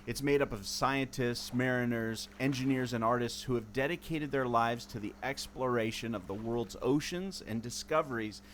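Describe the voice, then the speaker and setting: A man's voice: mid-range voice, normal speed, few pauses, a bit bright, natural voice. A man playing a resourceful, know-it-all former mariner who explains things emphatically in a radio adventure. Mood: intrigued, secretive. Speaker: podcast host acting in audio drama. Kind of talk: amateur radio adventure acting. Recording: noticeable ambient background noise.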